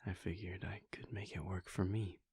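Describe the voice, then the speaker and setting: A man voicing a weary, devoted male vampire prince in a soft, intimate whisper, speaking in slow, halting, heartfelt confessions. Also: deep voice, level tone, breathy edge. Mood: tender, hushed. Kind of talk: whispered audio roleplay.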